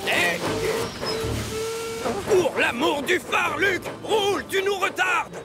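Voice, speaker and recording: A very high, gravelly cartoon steam locomotive, forever grumbling and indignant. The delivery is exclamatory and animated, jumping around in pitch, over a musical backing. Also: male voice, takes it slowly, raised voice, full character voice. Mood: exasperated. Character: grumpy, complaining male narrow-gauge steam locomotive. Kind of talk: children's cartoon dub acting. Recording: music behind the voice.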